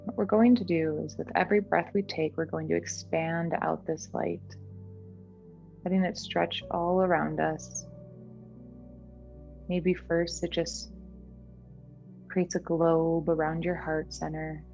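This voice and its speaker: A woman guiding a meditation in a gentle, soothing, unhurried manner. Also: sits low, steady tone, gravelly, lo-fi sound. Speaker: meditation guide. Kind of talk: guided meditation. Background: background music.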